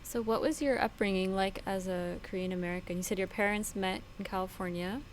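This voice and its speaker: A woman's voice: mid-range voice, steady tone, slight background noise. Friendly woman interviewer asking questions. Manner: friendly and curious, asks questions, quick to agree. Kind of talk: casual conversational interview.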